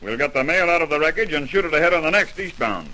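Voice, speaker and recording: A man's voice: fairly high pitch, expressive, quick pace, pushed and bright. A man playing a domineering, brusque airline general manager in 1930s-style radio drama acting. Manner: brusque, demanding, blustering. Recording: noisy old radio recording.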